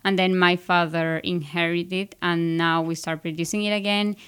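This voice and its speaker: A woman's voice: lowish voice, little pitch movement, moderate pace, a bit bright, clean tone. A young woman from Ecuador, a graduate student who explains things earnestly on a radio show. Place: radio studio.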